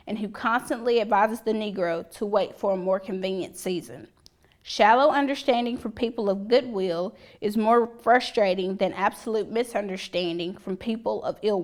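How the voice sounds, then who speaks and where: A woman with a medium-pitched voice reads a historic letter aloud. She takes it deliberately, setting out one phrase at a time. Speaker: reader at a commemorative public reading.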